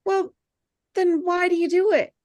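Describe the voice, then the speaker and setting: A woman reading the part of an earnest, insecure misfit teenage boy, halting and stammering, in a script table read. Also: extremely high pitch, fairly even intonation, a little mellow, no room sound. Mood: upset, indignant.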